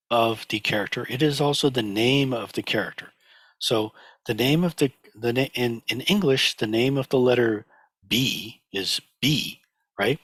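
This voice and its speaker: Slightly hoarse man lecturing over slides. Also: fairly low pitch, pushed and bright, no room sound. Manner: halting, many fillers and restarts. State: recovering from a cold, slightly hoarse. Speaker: teacher of a sutra class.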